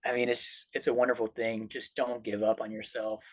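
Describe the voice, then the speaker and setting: A man's voice: mid-range voice, flat delivery, fairly quick. A man giving casual motivational advice in an earnest, encouraging way as a guest speaker.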